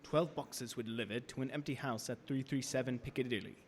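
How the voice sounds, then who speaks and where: An actor playing an anxious husband on a vampire hunt, terse and earnest, in a radio horror drama. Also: male voice, mid-range voice, fairly even intonation, brisk, natural voice. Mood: tense, businesslike. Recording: reverberant hall, distant, faint noise.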